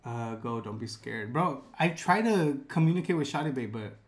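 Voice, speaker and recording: A man's voice: medium pitch, lively intonation, moderate pace. Laid-back young man streaming live. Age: 20s. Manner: laid-back, drops "bro" a lot, reads chat aloud. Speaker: livestreamer. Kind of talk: casual live stream chat. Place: dark room with gaming chair and lights.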